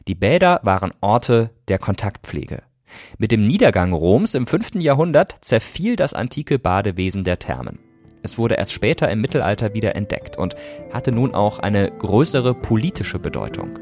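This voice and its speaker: A man's voice: on the low side, sing-song, normal speed, a little mellow, no echo, faint music behind. A man hosting a podcast, reading scripted narration in a conversational way that addresses listeners directly. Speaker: podcast host.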